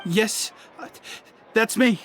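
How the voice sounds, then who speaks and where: A man's voice: sits high, slow, heavy background noise. An actor playing a nervous, stammering male underling in a video game. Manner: stammering, hesitant.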